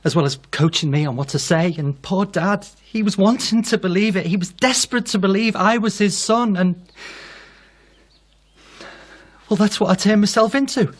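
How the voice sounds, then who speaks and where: Radio drama role: impostor son, earnest, needy, guilt-laden, confessional delivery. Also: male voice, sits high, brisk, few pauses. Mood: rueful.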